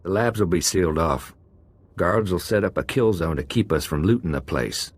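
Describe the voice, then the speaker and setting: An actor playing a rough, skeptical, streetwise prison-gang convict in a video game, with slangy, drawling tough talk and a gravelly voice. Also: male voice, moderate pace, no echo. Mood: wry, grudging. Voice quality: deep, gravelly.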